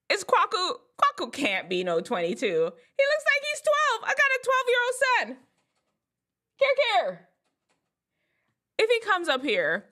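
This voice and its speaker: A woman from Jamaica chatting casually to camera, telling stories and laughing at herself, with big upward swoops when she gets excited. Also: fairly high pitch. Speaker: vlogger.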